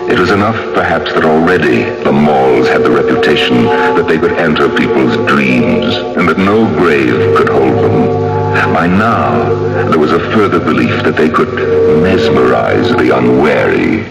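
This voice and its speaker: Radio villain: vengeful hypnotist from the grave, theatrical and swooping, drawn-out menacing delivery. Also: male voice, rumbling low, animated, creaky, full character voice, poor audio. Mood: sinister, gloating. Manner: slow, theatrical, menacing, savoring words. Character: sinister vengeful male mesmerist, an undead narrator from the grave. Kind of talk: old-time radio drama acting. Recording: old radio broadcast with music underneath.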